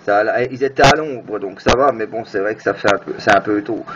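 A man's voice: on the low side, brisk. A man hosting a casual amateur radio chat, informal and hesitant as he searches for words. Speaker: amateur radio operator and video host. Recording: noisy, muffled FM radio room recording.

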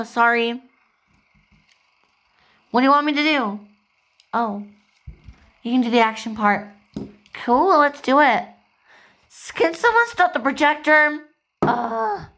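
A woman playing an eager, bossy young girl who directs a homemade movie in doll play, with excitable, drawn-out delivery. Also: a little high.

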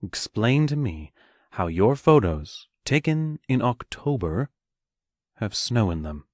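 A stern male teacher acted by a man in an audio play. His voice is very low and closely recorded, and he scolds in a firm, measured manner. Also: big pitch swings, a little mellow, no echo, studio quality, full character voice.

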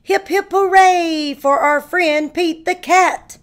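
A woman's voice: very high-pitched, takes it slowly, voice pushed up. A woman reading a storybook as a hearty, grateful old male Santa cat who exclaims his lines. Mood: grateful, jubilant.

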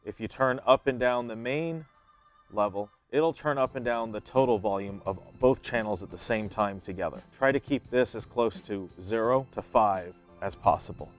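A man's voice: fairly low pitch, normal speed, faint music behind. A man in his thirties giving plain, step-by-step how-to instructions as an equipment demonstrator.

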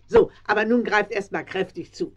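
A woman's voice: medium pitch, moderate pace, close to an everyday voice. An actress playing a kindly, talkative older farm woman in a children's radio play. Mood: warm, relieved. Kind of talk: 1970s German children's radio play acting. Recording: slightly dull 1970s audio drama recording.

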